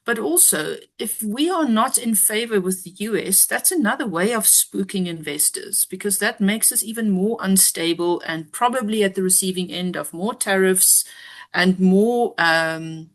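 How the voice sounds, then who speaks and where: A woman, a guest giving measured, analytical commentary with frequent ums. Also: mid-range voice. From South Africa. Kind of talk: interview discussion.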